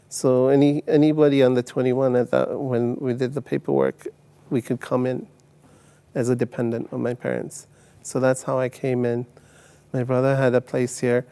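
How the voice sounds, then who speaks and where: A man in his sixties from Uganda and later England, telling his personal story as an interview guest in a halting way, often repeating words. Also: mid-range voice, mellow, smooth. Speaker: testimony guest.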